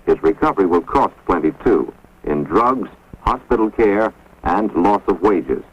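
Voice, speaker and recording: A man giving measured, grave scripted narration for an instructional film, recorded on a dull, lo-fi 1950s film soundtrack. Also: low voice, animated, slightly rough. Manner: measured, grave, authoritative delivery.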